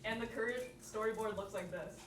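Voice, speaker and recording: A woman's voice: medium pitch, fairly quick. A young woman giving an informal workshop talk, saying "like" often, recorded from a distance in an echoey, noisy room. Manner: informal, peppered with "like". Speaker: workshop presenter.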